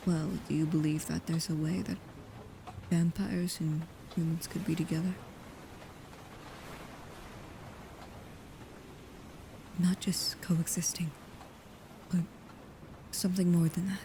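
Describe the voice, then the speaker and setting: A woman playing a devoted, anxious, shyly affectionate vampire bodyguard in an intimate ASMR roleplay, speaking softly and haltingly, often near a whisper. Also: fairly low pitch. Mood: shy, hopeful. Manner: hesitant, halting, soft-spoken, often dropping to a whisper. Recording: clearly audible background ambience noise.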